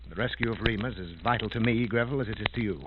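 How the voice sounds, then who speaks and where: A man's voice: on the low side, rapid-fire, lightly acted. An actor playing a commanding, determined male investigator with clipped, authoritative delivery in a 1930s radio drama. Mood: firm, purposeful. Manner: clipped, authoritative, giving orders. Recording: noisy, clicking, tinny 1930s radio recording.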